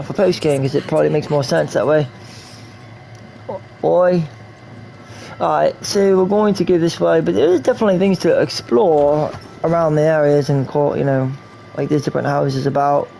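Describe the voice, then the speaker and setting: A man's voice: on the high side, normal speed, vocal fry, warm tone, slight background noise, low-quality recording. A man from New York rambling through casual game stream commentary, repeating himself and slipping in and out of a put-on English accent. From New York, USA.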